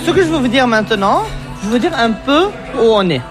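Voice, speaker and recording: A woman's voice: on the high side, animated, brisk, music in the background. A woman guiding a food tour in French, which is not her first language, who quizzes her listener and keeps confirming with "exactement" in a pizzeria. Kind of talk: explaining to a radio reporter on a tour. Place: pizzeria restaurant indoors.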